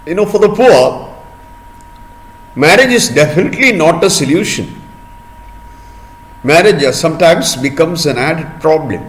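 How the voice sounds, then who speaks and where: Male storyteller for children, unhurried pace, swooping intonation, deliberate pauses, explains words as he goes. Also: fairly high pitch, expressive, low-quality recording.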